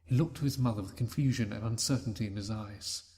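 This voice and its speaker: A man's voice: fairly low pitch, fast, mellow. Man reading a story aloud. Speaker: reader. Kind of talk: storybook narration.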